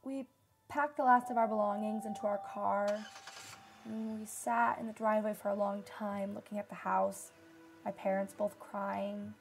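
A woman's voice: mid-range voice, little pitch movement, normal speed, creaky, faint music behind, lightly acted. A young woman playing a solemn nineteen-year-old disaster survivor giving testimony in a radio drama. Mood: somber, reflective. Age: young adult.

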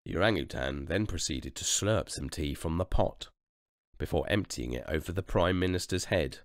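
Low gravelly guy reading a kids story, really expressive, dry close sound. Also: normal speed, no echo. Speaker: storybook reader. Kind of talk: expressive children's story narration.

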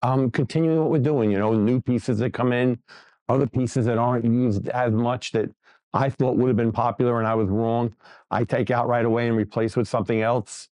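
A plain-spoken man in his sixties who owns a gym. He talks casually and bluntly. His voice sits at an ordinary pitch. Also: fairly even intonation, moderate pace, darkish tone. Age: 60s. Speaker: gym owner. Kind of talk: casual interview and gym tour.

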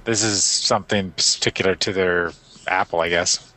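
A man's voice: deep voice, fairly even intonation, normal speed, sharp tone. A man casually explaining a game and coaching another player in an offhand way. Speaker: podcast co-host guiding a player. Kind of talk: casual chat, game walkthrough.

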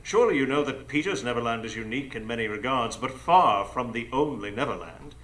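Man playing a pompous, bright-voiced villainous pirate captain. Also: medium pitch, expressive, brisk, lightly acted, poor audio. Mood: grandiose. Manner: theatrical, pompous, mocking. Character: grandiose sneering male pirate captain and criminal mastermind. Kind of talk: live radio drama acting. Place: improv theatre stage before a live audience.

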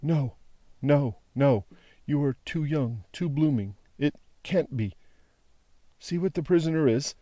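Man acting a haunted old prisoner, halting, raised voice. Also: on the high side, dark tone, no room sound, pitched above the performer's own voice. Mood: gloomy, yearning. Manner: halting, repetitive, wondering speech.

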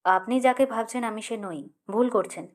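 A woman reader plays an infatuated young man in a storybook tale. He sounds dreamy and evasive, with a moody edge, in a fairly high register. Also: moderate pace, close to an everyday voice. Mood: cold, curt. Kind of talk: audiobook character dialogue.

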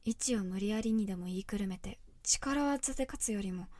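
A woman's voice: mid-range voice, a little fast, a little mellow, no room sound, lightly acted. A woman voicing an anguished young werewolf girl in a voice drama, resolute but emotional. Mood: brooding, hushed. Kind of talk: Japanese voice drama acting.

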